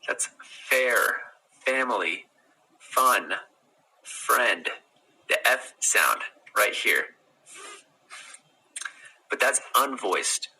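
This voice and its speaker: Young American teacher, bright, husky-edged voice, easygoing and friendly, steady explanations, close phone mic in a small room. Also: male voice, lowish voice, drawn-out words, pauses often, rough recording. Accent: General American. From Utah, United States. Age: young adult. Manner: relaxed, friendly, explains step by step with fillers. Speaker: English pronunciation teacher. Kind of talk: live-streamed pronunciation lesson, reading chat. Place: small indoor room with window blinds. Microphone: phone camera close-up.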